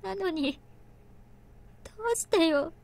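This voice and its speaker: A young woman in an anime visual novel. Her voice sits high. She is earnest and tender, with a halting, emotive delivery that is quick to show hurt. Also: vocal fry. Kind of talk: Japanese visual novel voice acting.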